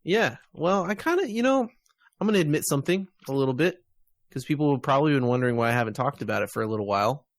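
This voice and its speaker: A man's voice: mid-range voice, expressive, fast, dry recording. An American man hosting a podcast, chatting casually about games and media. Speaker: podcast host.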